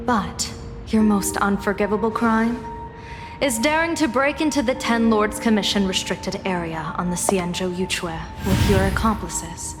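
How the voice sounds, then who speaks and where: A woman's voice: medium pitch, lightly acted. A woman voicing a stern, poised general in a game, speaking formally and imperiously. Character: commanding female general, stern, poised and accusing. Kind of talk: dramatic game voice acting. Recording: long reverb, loud music behind.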